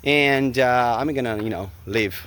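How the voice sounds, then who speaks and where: A man in his forties from Brazil, with a Brazilian Portuguese accent and Portuguese as his first language, vlogging casually outdoors after a jog, halting and searching for words with many fillers. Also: mid-range voice, a bit bright. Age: 40s. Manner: just back from a jog. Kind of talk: casual vlog to camera. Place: outdoors in a park.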